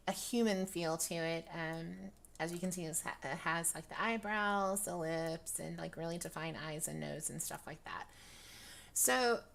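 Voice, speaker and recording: A young woman talks through a casual review, her voice dry and gravelly with plenty of fry. She rambles, restarts her sentences and fills the gaps with ums. Her pitch sits in an ordinary middle range. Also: fairly bright tone. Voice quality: raspy, dry voice. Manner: rambling, many ums, laughs often. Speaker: virtual-world fashion vlogger. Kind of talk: casual product review chat.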